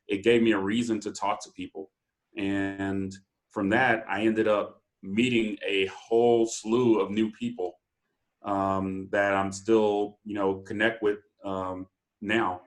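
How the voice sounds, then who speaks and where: A man taking part in a group discussion, speaking in a hesitant, easygoing way with many fillers. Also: lowish voice. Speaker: group participant.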